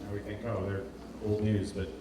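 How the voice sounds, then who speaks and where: A man's voice: on the low side, level tone, moderate pace, music in the background. A man from Portugal giving an informal presentation as a designer, speaking hesitantly and repeating words as he searches for phrasing. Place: indoor event space with heavy echo. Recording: roomy, distant, noisy live hall recording.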